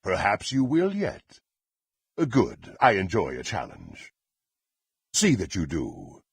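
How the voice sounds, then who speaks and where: An actor playing a power-hungry, contemptuous dark warrior in a fantasy video game, with a gravelly, husky voice and haughty, theatrical, archaic phrasing. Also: male voice, low voice, wildly swooping pitch, slow, no echo, full character voice. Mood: smug, haughty.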